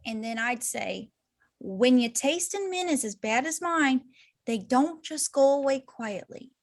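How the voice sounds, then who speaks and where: A woman's voice: high voice, expressive, unhurried. A woman playing a flighty, chatty, unhinged young clown villainess in an amateur table read. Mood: wounded, unhinged.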